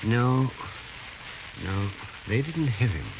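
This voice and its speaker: An actor playing a matter-of-fact frontier town doctor in an old-time radio western, with a dry, deliberate delivery. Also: male voice, medium pitch, animated. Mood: dry, grim. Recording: muffled, noisy old radio recording.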